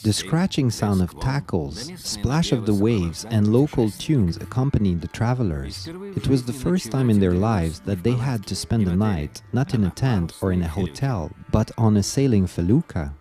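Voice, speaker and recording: Lively low-voiced man narrating a documentary. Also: normal speed, few pauses. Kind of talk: scripted travel documentary narration. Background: music.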